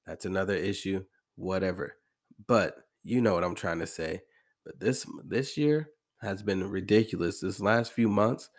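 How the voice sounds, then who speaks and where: An American man hosting a podcast, talking casually and repeating phrases for emphasis, with a congested, slightly hoarse voice from allergies. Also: lowish voice. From American Midwest. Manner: conversational, emphatic repetition. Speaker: podcast host. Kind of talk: casual motivational monologue.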